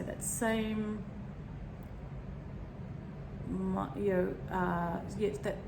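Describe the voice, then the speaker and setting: Gentle, croaky woman in an echoey room. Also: on the low side. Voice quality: croaky, frog in throat. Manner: gentle, rambling, searching for words. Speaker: meditation teacher. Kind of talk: guided meditation talk. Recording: echoey room with background noise.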